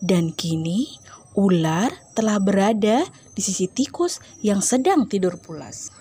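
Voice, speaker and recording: A woman tells a children's fable in a very husky, breathy voice. Her pitch swings expressively while she takes her time, with a soft, mellow tone. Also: expressive, unhurried. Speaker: storyteller.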